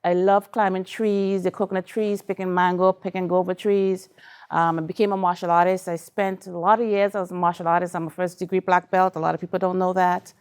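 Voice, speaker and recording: A woman's voice: fairly low pitch, level tone, brisk, a little mellow, clean tone. Chatty Trinidadian woman telling her story. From Trinidad and Tobago. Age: middle-aged. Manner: chatty, candid, laughs along with the audience. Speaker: speaker. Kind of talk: personal story talk to a live audience.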